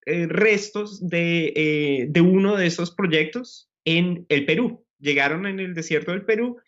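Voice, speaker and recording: A man, a scientist guest, explaining science in a measured way during a live news chat. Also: high-pitched, fairly bright tone. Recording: muffled broadcast audio.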